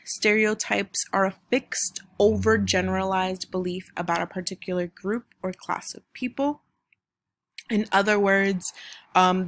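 Creaky-voiced woman teacher explaining slides. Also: fairly low pitch, fairly bright tone, no room sound. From United States. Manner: explanatory, frequent "um" and "right?" checks. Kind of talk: recorded online mini-lesson over slides. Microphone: computer microphone, voice-over on screen recording.

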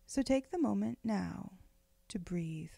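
A woman leading a guided meditation in a gentle, unhurried, soothing way, with phrases often trailing off into breath. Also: medium pitch, animated, gravelly, husky, no room sound. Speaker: meditation guide, spiritual director.

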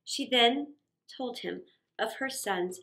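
A middle-aged woman reading a story aloud for children, expressive, with theatrical pauses and emphasis. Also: fairly high pitch, vocal fry. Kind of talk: dramatic read-aloud story narration for children. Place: small indoor set.

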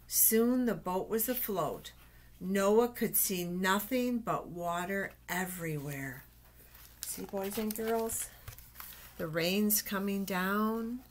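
An older woman reads a picture book aloud to small children at an unhurried pace. Her pitch is average, and she often exclaims and stretches out words as she points things out. It is a webcam recording in a small office, with slight background noise. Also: a bit bright. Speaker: storytime reader for children. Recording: noisy webcam recording with clicks.

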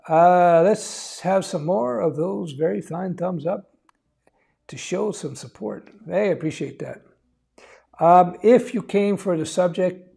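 Middle aged guy on a livestream, husky relaxed voice, rambly offhand chat answering comments. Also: on the high side. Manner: informal, rambling, offhand, reassuring. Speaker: live stream host, veteran developer giving career advice. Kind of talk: casual live-stream chat, reading and answering viewer comments. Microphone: lapel mic.

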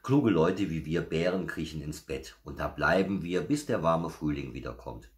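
A man's voice: a bass voice, animated, moderate pace. A man voicing a motherly, self-satisfied female bear who explains things patiently in a children's storybook reading. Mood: patient, explaining.

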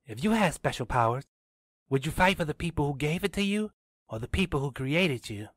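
Man as an earnest, quick-talking teenage boy. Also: fairly high pitch, animated, a bit bright, no echo, clean studio sound, pitched above the performer's own voice. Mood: earnest, certain. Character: earnest, eager teenage boy, sure of himself.

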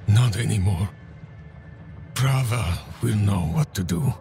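An actor playing a bitter, wounded guard in a dark fantasy game, weary, bleak and breathy. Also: male voice, on the low side, slow, music in the background. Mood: bitter, defeated.

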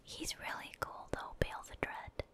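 A woman speaks entirely in a soft, breathy whisper. She is commenting on a video game in ASMR style, as a streamer would. Her delivery is chatty, made up of short murmured asides and reactions to the play. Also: normal speed. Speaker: gaming streamer and ASMR host.

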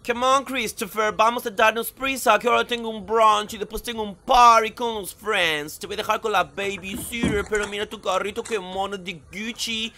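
A man's voice: high-pitched, steady tone, normal speed, few pauses, bright, forceful tone, slight background noise, voice pushed down. A man voicing a snobbish posh mother who talks affectedly and drops in English words, in a comedy dub. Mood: smug. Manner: affected, pretentious.

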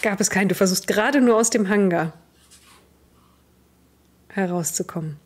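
A woman's voice: mid-range voice, normal speed, slightly rough. A young woman runs a tabletop role-playing game as game master. She has a mid-range voice and narrates scenes to her players over an online call. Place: indoors, online video call.

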